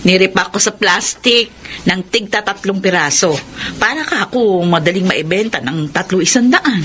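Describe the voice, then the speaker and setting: A woman's voice: mid-range voice, expressive, fairly bright tone, slight background noise, natural voice. Actress as a humbled, heartfelt Filipino mother. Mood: defensive. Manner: halting, heartfelt. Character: repentant wayward mother of grown children, tender, humbled. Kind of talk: Filipino radio drama acting. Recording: radio drama with music bed behind.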